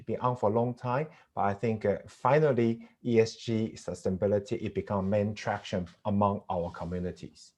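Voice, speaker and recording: Male panelist, slightly low voice, talking off the cuff. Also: dark tone. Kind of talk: unscripted panel commentary.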